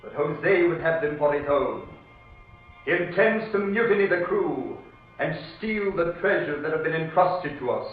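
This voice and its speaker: Ghostly sea captain, played by a man: grave, bitter, commanding, higher-set voice, cavernous distant echo, radio horror drama. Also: animated, moderate pace, phone-quality sound, close to an everyday voice. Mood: bitter, grim. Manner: theatrical, solemn, commanding. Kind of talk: old-time horror radio drama acting. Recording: band-limited old radio, echoey, distant, music underneath.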